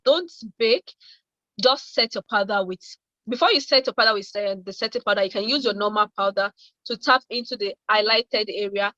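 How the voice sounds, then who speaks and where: A woman's voice: mid-range voice, fairly bright tone, dry recording. Female guest adviser, mid-range voice, informal, muffled dry call sound. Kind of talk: informal spoken advice. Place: online video call. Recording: dry, dull lo-fi call audio.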